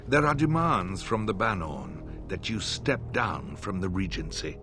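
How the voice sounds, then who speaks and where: A scheming male advisor in a fantasy game. He speaks slowly and lowly, with a lively melodic swing and a deferential, insinuating manner. Music plays clearly behind him. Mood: grave, measured. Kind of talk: fantasy video game acting. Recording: game audio under music.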